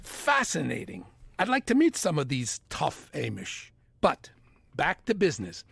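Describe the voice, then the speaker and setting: An actor as a high-pitched, pointed old mobster. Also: male voice, wildly swooping pitch, measured pace, creaky, a bit bright, slightly rough, dry recording, heavily acted. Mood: skeptical, curious. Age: older. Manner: nagging, pointed, mock-polite pressure. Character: exasperated, quietly menacing older retired mobster, male. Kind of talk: comedic audio drama dialogue.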